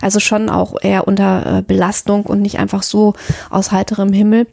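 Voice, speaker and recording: A woman talks as a podcast co-host in a mid-range voice with noticeable creak. She explains things casually and conversationally. The studio recording is very dry. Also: medium pitch, completely flat delivery, brisk, warm tone, no room sound. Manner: informal, explanatory. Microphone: headset.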